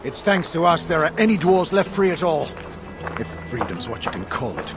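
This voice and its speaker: A man's voice: fairly high pitch, very animated, moderate pace, over music. A man playing a wry, bickering dwarf rebel in a video game, talking in a dry, teasing, grumbling manner. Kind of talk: conversational video game acting.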